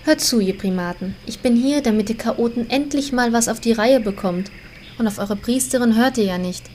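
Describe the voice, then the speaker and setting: A woman's voice: a little high, moderate pace, darkish tone, a little hiss or hum, natural voice. A woman playing a confident, bossy young elf mage in a comedic audio drama. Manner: self-assured, haughty.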